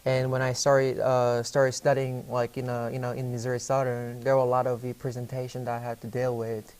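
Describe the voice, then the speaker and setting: A young man from South Korea, Korean his first language, speaks in a flat, even tone. He answers interview questions hesitantly, full of fillers and restarts. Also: medium pitch, fairly quick, clear, resonant tone. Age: young adult.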